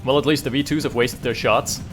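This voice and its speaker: A man casting a strategy game final in a lively, joking way, quick to react to big plays. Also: mid-range voice, faint music behind. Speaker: esports caster. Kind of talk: casual game commentary.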